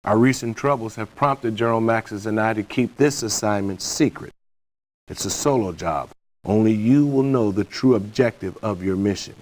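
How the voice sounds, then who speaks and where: A man playing a stern middle-aged military colonel giving a formal, measured video game mission briefing. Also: on the low side, close to an everyday voice.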